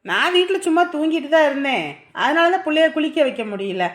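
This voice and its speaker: A high, echoing voice for a put-upon young mother acted by a woman in a family drama. She grumbles sarcastically about her lot. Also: sing-song, quick pace. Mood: sarcastic grievance. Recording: roomy echo on the voice.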